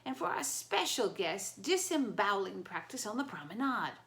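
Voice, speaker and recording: A woman voicing a cheerful male ship announcer with an Australian accent, loud and chirpy. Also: medium pitch, big pitch swings, normal speed.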